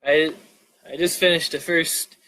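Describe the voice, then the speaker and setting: Male live storyteller, fairly high voice, informal reading with small stumbles and restarts. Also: steady tone, a little slow, sharp tone, low-quality recording. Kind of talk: live story reading, animated narration with casual asides.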